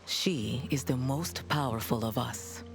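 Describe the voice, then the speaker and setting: An actress playing a solemn, weary coven witch in a fantasy game, speaking formally and with measured gravity. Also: rumbling low, gravelly, full character voice. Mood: grave. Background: game music.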